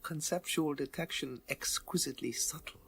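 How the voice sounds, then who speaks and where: An actor playing a humble, cryptic antique-dealer detective in a radio play, with stilted and elaborately polite phrasing. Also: male voice, a little high, moderate pace, vocal fry, a bit bright, husky, no room sound, exaggerated voice. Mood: cryptic, courteous.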